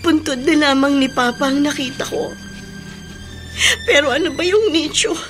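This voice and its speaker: Radio drama: wounded, resentful young Filipina, high voice, emotional delivery. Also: female voice, lively intonation, moderate pace, over music. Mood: grieving, bitter. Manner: emphatic, emotional. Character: bitter, wounded young woman, openly resentful.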